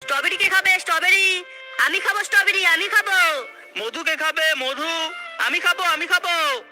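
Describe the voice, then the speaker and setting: A man voicing an excitable, whiny little creature in a children's cartoon. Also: very high-pitched, moderate pace, over music. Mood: eager.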